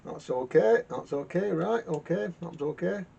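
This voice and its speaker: A man giving casual hands-on repair commentary in a halting way, muttering to himself and repeating words. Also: expressive, creaky, mellow, breathy edge. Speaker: vintage radio repairer hosting a workshop video. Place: garage workshop. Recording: muffled lo-fi sound with rumbling noise.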